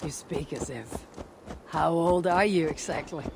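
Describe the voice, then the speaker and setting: A woman's voice: low-pitched, a little slow, creaky, noisy background. Blunt, skeptical Viking warrior woman. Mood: matter-of-fact. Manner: blunt, dry, challenging. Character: blunt, impatient female Viking warrior leader, proud and skeptical. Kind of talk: video game cutscene acting.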